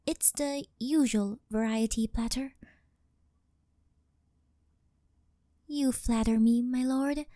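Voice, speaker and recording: A woman's voice: a little high, fairly even intonation, measured pace, dark tone, no echo, clean studio sound, lightly acted. A woman playing a devoted yandere doll maid who worships her master, speaking with reverent, formal servility in an ASMR roleplay. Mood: humble, adoring.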